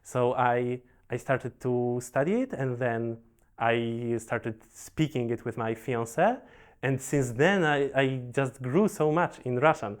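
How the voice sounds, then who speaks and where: A young man from Poland with a Polish accent, a professional Go player, telling his story in a relaxed, halting way with many restarts and fillers. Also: mid-range voice, smooth. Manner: halting, repeats words, frequent fillers.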